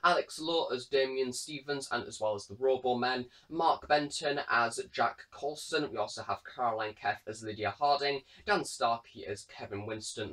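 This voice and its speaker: A man's voice: medium pitch, fairly bright tone. A British man giving a casual, chatty product review in a rambling way. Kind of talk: casual unboxing review talk.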